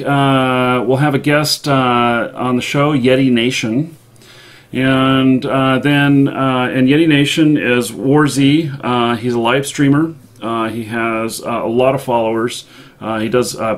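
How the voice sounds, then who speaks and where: A man hosting a live online show, talking off the cuff in a halting way with many ums and uhs. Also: mid-range voice. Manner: hesitant, halting delivery thick with ums and uhs. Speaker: live stream show host. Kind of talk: unscripted live show sign-off.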